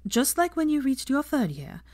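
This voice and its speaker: A young schoolmistress, as a woman narrator plays her, addresses her class in a raised, projected voice. She is brisk and earnest, encouraging pupils with questions in an audiobook story. The sound is dry. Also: high voice, sing-song, a little mellow, no room sound, pitched above the performer's own voice. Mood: brisk, instructive.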